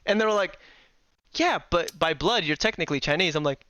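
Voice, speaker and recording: A young man from Indonesia hosting a casual online chat, telling lively stories, acting out family arguments and laughing often. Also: a little high, a little fast, no room sound. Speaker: host.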